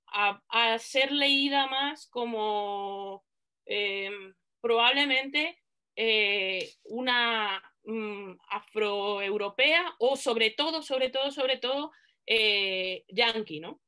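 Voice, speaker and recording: A woman's voice: on the high side, clean tone. A woman in her thirties, a panel guest, talking animatedly on a video call from a dim room at home, with some fillers and restarts. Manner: animated, gestures while talking, halting with fillers. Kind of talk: casual chat on a video call. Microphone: laptop webcam on a video call.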